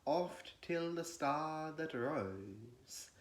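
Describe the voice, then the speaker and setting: A man gives a solemn, declamatory, measured recitation of classical poetry. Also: a little high, animated, slight background noise. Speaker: poetry reader. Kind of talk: dramatic recitation of classical verse. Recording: echoey room.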